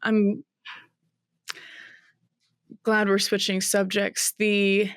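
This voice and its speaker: Woman, 20s, interview guest; smooth, close dry sound, reflective and searching delivery. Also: medium pitch, completely flat delivery, very slow, fairly bright tone, no room sound. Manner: thoughtful, searching, with many fillers and restarts.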